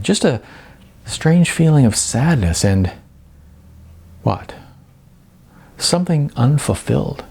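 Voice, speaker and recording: A man with a husky voice narrating a novel as scripted audiobook storytelling. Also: mid-range voice, darkish tone.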